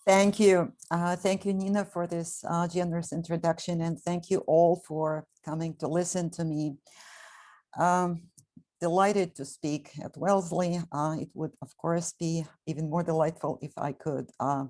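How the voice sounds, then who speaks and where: A hoarse middle-aged woman delivers a prepared lecture at a measured pace. Her voice sits low and sounds mellow and dark. She keeps it factual, with small fillers between phrases. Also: steady tone. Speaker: guest lecturer. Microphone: video call.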